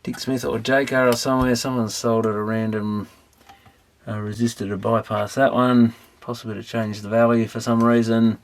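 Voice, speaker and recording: A man's voice: mid-range voice, flat delivery, moderate pace. An Australian man giving casual, rambling commentary as he works, offhand and musing to himself. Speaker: electronics repair hobbyist. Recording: clearly noisy recording with clicks.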